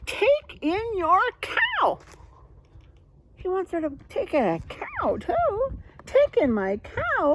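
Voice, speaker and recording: A woman's voice: high-pitched, a little mellow, a little hiss or hum, rough recording. A woman reading a children's story aloud as a teacher would, speaking directly and encouragingly to young listeners. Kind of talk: children's read-aloud with rhyme and vocabulary talk.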